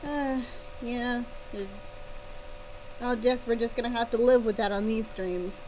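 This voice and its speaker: High-voiced woman streamer with buzzy mic. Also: fairly even intonation, a little slow, background noise. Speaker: live streamer, author. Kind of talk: casual chat with livestream viewers. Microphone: laptop's built-in or connected microphone. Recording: buzzing laptop mic near fan. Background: fan buzz.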